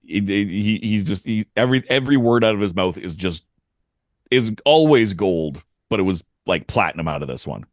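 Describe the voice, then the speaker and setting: A man's voice: on the low side, expressive, normal speed, dry recording, clean studio sound. A man in casual review chat as a podcast panelist, speaking enthusiastically.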